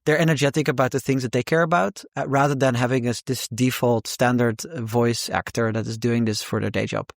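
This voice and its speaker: A man's voice: medium pitch, steady tone, brisk, creaky, hardly any pauses, no room sound, studio quality. A man with a Dutch accent, a guest author talking casually in an interview, often repeating words as he searches for the right phrasing.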